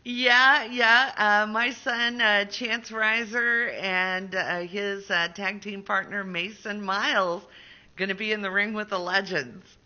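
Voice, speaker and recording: Very bright, forceful female radio host. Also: mid-range voice, steady tone, slow pace, highs cut off. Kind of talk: casual promotional interview.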